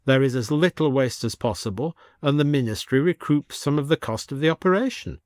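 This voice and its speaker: A man voicing a pompous, formal Victorian English gentleman official, proud and strict about rules, in an audiobook story. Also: medium pitch, fairly quick, no echo, studio quality, natural voice. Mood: indignant, proud.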